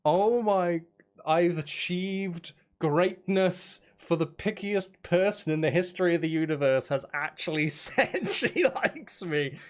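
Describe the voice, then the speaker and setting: A man chatting casually on a live stream as he makes music, geeky and playful, thinking aloud in a rambling way. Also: on the high side.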